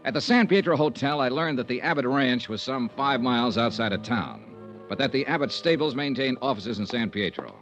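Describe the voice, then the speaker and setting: A man's voice: medium pitch, animated, fairly quick, a bit bright, soft background music, close to an everyday voice. A man acting as a dogged American insurance investigator from old radio detective drama. His delivery is wry and conversational, rising and falling freely. The vintage recording is muffled and lo-fi. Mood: businesslike.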